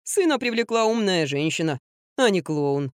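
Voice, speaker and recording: A woman voicing a practical, good-natured older uncle in an audiobook, grumbling gently and gruffly. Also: lowish voice, big pitch swings, dry recording, clean studio sound. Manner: unhurried, gruffly good-humored.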